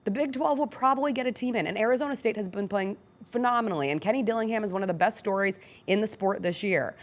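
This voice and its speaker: A woman's voice: mid-range voice, fast. A woman hosting a sports talk show, giving casual, opinionated and analytical commentary with attention to detail.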